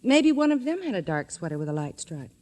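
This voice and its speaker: An actress playing a plucky, devoted young detective's assistant in a 1940s radio drama, teasing and inquisitive. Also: female voice, mid-range voice, sing-song, fairly quick, darkish tone, close to an everyday voice.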